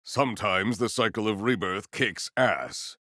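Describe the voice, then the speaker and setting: A man's voice: fairly low pitch, unhurried, bright, forceful tone, no echo, clean studio sound. A man playing a solemn, proud warrior in a video game, speaking with formal, stately diction and dramatic weight. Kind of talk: scripted game character lines.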